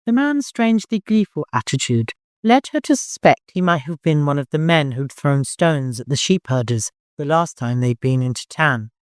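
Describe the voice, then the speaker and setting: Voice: medium pitch, expressive, normal speed, few pauses, no echo, clean studio sound. A narrator reading literary fiction from a script as an audiobook.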